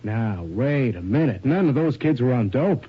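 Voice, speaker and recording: A defensive male photographer in an old radio drama. His voice is rough-edged and very mobile in pitch, with terse, guarded phrasing. The sound is slightly muffled. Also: expressive, normal speed. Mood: defensive, indignant. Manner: clipped, guarded answers. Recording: dull 1980s radio drama recording.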